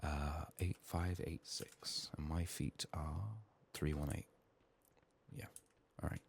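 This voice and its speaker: A man softly narrating his video game in an ASMR style, musing to himself in a hushed, slightly strained, under-the-weather voice. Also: extremely low pitch, little pitch movement, slow pace, creaky, a little hiss or hum. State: sick, strained voice. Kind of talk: soft-spoken, partly whispered ASMR game commentary.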